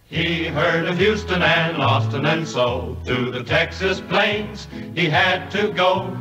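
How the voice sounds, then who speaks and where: A man's voice: a little high, slow pace. Echoey male Western balladeer over music. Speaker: ballad singer and narrator. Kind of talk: sung and half-spoken 1950s Western ballad. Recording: vintage record, echoey, distant, over music. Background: musical accompaniment.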